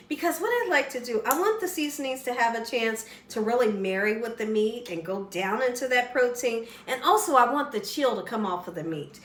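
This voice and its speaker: A slightly hoarse woman with a fairly high voice walks viewers through a recipe in a chatty, folksy way, often checking in with "right?". She sounds a little away from the mic in an echoey kitchen, with faint background hiss. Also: recorded from a distance. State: slightly hoarse, losing her voice. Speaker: cooking show host. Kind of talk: casual cooking demonstration. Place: home kitchen.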